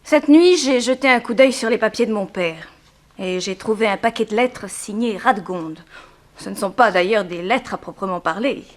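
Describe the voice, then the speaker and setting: A woman's voice: medium pitch, normal speed, close to an everyday voice. An actress playing a grave, formal, composed young woman in mourning in a 1960s French radio drama. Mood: disapproving. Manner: formal, composed, pointed questioning.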